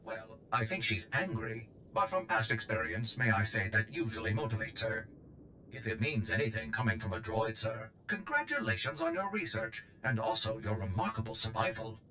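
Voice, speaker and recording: An actor playing a formal, dryly courteous analytical droid in a video game. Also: male voice, lowish voice, moderate pace, background noise, close to an everyday voice. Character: polite dry analytical male medical droid.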